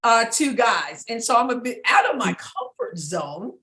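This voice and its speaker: A woman from New York hosts a talk show, leading the chat in an upbeat, direct way. Her voice is bright and a little high. The room around her rings with echo. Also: big pitch swings, measured pace. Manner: upbeat, direct, leads the conversation. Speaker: host.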